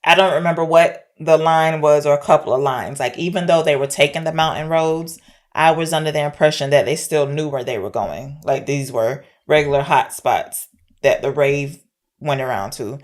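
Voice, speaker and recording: A woman's voice: sits low, normal speed. A woman co-hosting a podcast, casually retelling a film's story and thinking aloud as she goes. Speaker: podcast co-host. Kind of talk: casual film discussion, retelling the plot.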